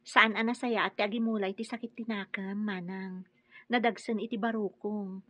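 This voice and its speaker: A woman's voice: mid-range voice, steady tone, normal speed, fairly bright tone, no echo, muffled recording, close to an everyday voice. An actress playing a well-meaning, helpful and patient woman in an Ilocano radio drama. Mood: earnest, explaining.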